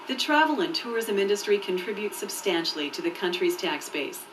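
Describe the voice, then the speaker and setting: A woman narrating a scripted promotional video in a clear, measured, informative way. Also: medium pitch, lively intonation, noisy background. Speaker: voice-over narrator. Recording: muffled distant VHS tape dub with music. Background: background music.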